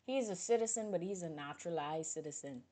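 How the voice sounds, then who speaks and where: A woman from the Bahamas, chatting casually with viewers on a livestream, outspoken and animated, swinging from loud outbursts to low mutters. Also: fast.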